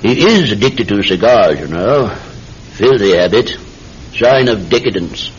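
An actor playing an evasive, arrogant villainous doctor in a melodramatic radio drama. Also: male voice, lowish voice, big pitch swings, measured pace, slight background noise. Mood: smug, sinister. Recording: dull radio sound with music.

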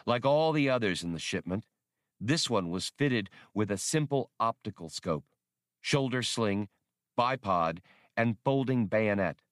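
A man's voice: fairly low pitch, animated, measured pace, no echo. A man giving a scripted audiobook narration of adventure fiction, recorded close to the microphone.

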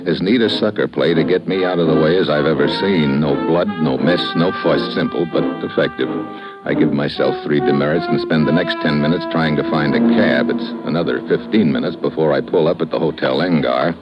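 An actor playing a wry, tough American secret agent in an old-time radio drama, with clipped, slangy, deadpan delivery. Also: male voice, a bass voice, a little fast. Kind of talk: old-time radio drama acting with first-person narration. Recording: dull old radio recording, music behind.

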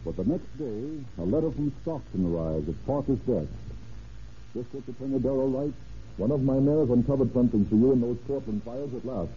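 A man's voice: mid-range voice, a little fast, slight background noise. A man narrating a vintage radio drama in an emphatic, theatrical scripted style. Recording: tinny old radio broadcast with music.

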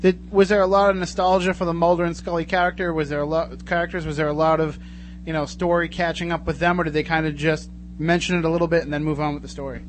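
A man hosts casual live talk radio in a fairly high voice. He talks fast and chattily, with a joking streak. There is clear noise and hum behind him. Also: flat delivery. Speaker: radio show host.

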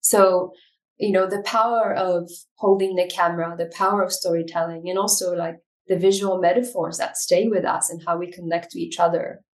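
A woman from Ethiopia, a documentary filmmaker, answering interview questions in a reflective way with many pauses and restarts. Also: lowish voice, steady tone, recorded from a distance, rough recording. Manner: reflective, frequent fillers and restarts.

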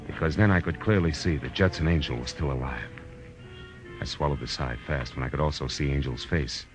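Hard boiled 1940s radio detective, real deep voice, wisecracking and slangy, music under it. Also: male voice, fairly quick. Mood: tense narration. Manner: clipped, sardonic, slangy. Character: tough, wisecracking male private eye. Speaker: radio drama lead actor. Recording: old radio broadcast with music.

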